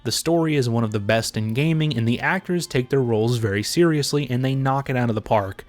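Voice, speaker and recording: A man's voice: mid-range voice, fairly quick. A man giving casual, opinionated video commentary in a blunt, sarcastic, heavily profane way. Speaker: video essay host.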